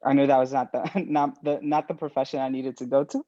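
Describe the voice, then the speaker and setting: Mellow guy chatting about himself, casual spanglish, dry slightly lo-fi call sound. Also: mid-range voice, little pitch movement, fairly quick, dry recording. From El Salvador, moved to the United States at seven. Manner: informal, switches between English and Spanish. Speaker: panel guest. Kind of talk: casual personal storytelling.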